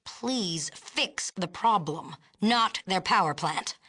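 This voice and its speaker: Low-voiced, haughty, authoritarian city leader. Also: female voice, animated, slow pace, sharp tone, husky, full character voice. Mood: stern, demanding. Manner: imperious, clipped, formal, condescending. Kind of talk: scripted video game dialogue.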